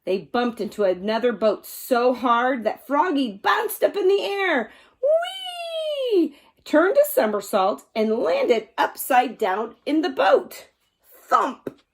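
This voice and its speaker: Slow, animated woman storyteller. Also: sits high. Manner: dramatic sound words. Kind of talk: expressive children's picture book read-aloud.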